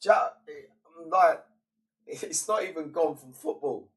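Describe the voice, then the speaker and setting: A man's voice: fairly high pitch, slow, distant mic, a little hiss or hum. A young man with a blocked-up, fading voice, chatting casually as he streams a football management game and mutters between bursts. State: congested, voice partly gone. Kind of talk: casual gaming commentary.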